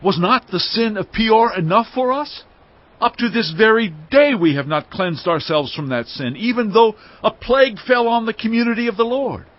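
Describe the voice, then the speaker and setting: An actor playing a stern priestly envoy, forcefully accusing rebellious tribesmen in an oratorical, dramatized scripture reading. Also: male voice, high voice, lively intonation, moderate pace, no echo, highs cut off. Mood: stern reproach.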